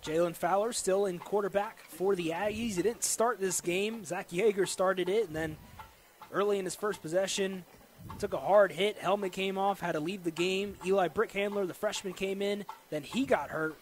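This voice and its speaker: An American man calling live football play-by-play on the radio with excitable, dramatic delivery. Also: high-pitched, normal speed. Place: football stadium during a live game. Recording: noisy live stadium broadcast.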